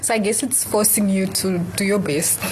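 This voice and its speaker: A woman's voice: medium pitch, steady tone, moderate pace, darkish tone, rough recording. Young woman from cape town, student reporter, chatty, bit of background noise. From Cape Town, South Africa. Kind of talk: casual interview chat.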